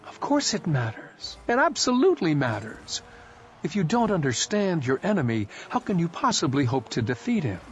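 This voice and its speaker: A man's voice: a little high, very animated, moderate pace, highs cut off, a little hiss or hum, voice pushed up. A man reading aloud voicing a young woman soldier who argues her point insistently. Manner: insistent, argumentative.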